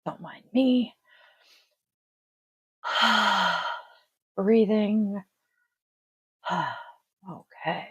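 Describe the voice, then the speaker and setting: Woman, middle-aged, congested and sniffly, slow unhurried talk, dry close sound, tired rambling chat. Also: medium pitch, breathy edge, no room sound. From Washington State, US. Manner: tired, rambling, trailing off with sighs. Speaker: tarot reader and livestream host. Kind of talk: casual livestream chat.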